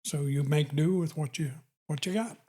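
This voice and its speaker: A man's voice: fairly high pitch, normal speed, warm tone. A man in his eighties from Illinois, a podcast guest answering plainly and in short, laid-back phrases. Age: 80s. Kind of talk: casual conversation.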